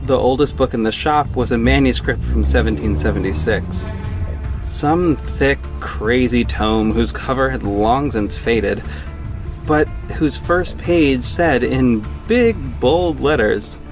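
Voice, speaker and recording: A young man narrating in the first person with a casual, wry delivery, his pitch sitting in a middle register. A light music bed runs quietly underneath. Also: takes it slowly. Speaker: narrator and lead actor.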